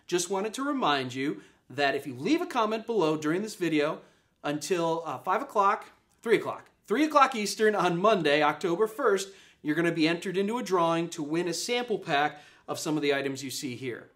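A man's voice: a little high, a bit bright. A man, giving an upbeat live product demo in an office room, with many fillers and repeated points. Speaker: product presenter. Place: office room with a display table.

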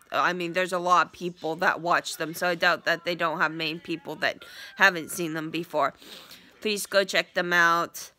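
A woman's voice: lowish voice, bright, forceful tone. A young woman with a stuffy, congested voice giving a rambling casual vlog update, recorded on a phone at arm's length in a small living room. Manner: rambling, many ums and restarts. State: stuffy, congested from a sinus infection. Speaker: vlogger. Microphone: phone front camera at arm's length.

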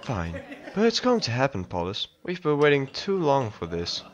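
A man's voice: medium pitch, huge pitch swings, moderate pace, lo-fi sound. A man voicing an impatient, self-important planetary president, with party chatter behind him.

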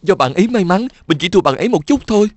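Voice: medium pitch, lively intonation, fast talker, no echo, close to an everyday voice. Voiced chubby schoolboy, a bit whiny. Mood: whiny puzzled. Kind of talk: cartoon dubbing.

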